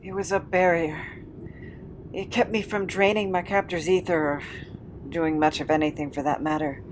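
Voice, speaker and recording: A woman in an audio drama playing a weary, frightened captive who speaks haltingly and pleads. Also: on the low side, fairly even intonation, background noise, natural voice. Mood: bitter. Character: weary, frightened captive with a draining supernatural hunger.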